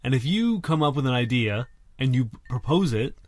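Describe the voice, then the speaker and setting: A laid-back man co-hosting a casual podcast, hazy from cold medicine. He speaks at an ordinary middle pitch, leaning on fillers and casual swearing. The mic sound is close and dry. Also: expressive, moderate pace, no echo. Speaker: podcast co-host. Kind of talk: casual chat.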